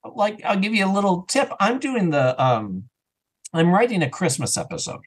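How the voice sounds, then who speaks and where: A man chatting casually and enthusiastically as an interview guest, rambling and animated, sometimes acting out bits of dialogue. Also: sits high, moderate pace, husky. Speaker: interview guest, audio drama writer and actor.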